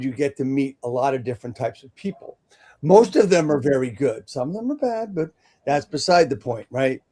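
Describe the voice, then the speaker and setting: A middle-aged male host with a mellow, darker tone at a middle pitch. He rambles and hesitates, filling gaps with "you know" and "uh" as he asks questions. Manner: rambling, hesitant, many fillers. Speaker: host, longtime landlord. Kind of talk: casual interview talk.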